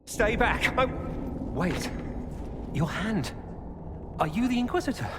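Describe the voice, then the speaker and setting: An urgent, swooping-voiced fallen knight. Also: male voice, sits high, big pitch swings, slow, exaggerated voice. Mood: alarmed. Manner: urgent, pleading. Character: desperate male former knight turned defector. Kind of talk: video game dialogue acting. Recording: long echoing reverb, clear background noise.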